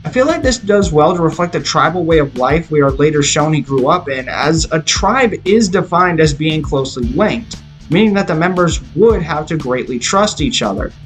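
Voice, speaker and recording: A man's voice: fairly high pitch, animated, moderate pace, fairly bright tone. A man reading a scripted film review in an opinionated, explanatory way. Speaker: video reviewer. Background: background music.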